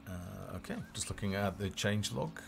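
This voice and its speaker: A man giving casual, low-key live commentary as a game developer, explaining features as he plays. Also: moderate pace, gravelly, noisy background. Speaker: game developer presenting a livestream. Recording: music behind the voice.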